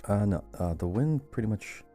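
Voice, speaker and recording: A man's voice: on the low side, lively intonation, normal speed, mellow, soft background music. A man from Hawaii co-hosting a podcast in casual, conversational talk. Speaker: podcast co-host.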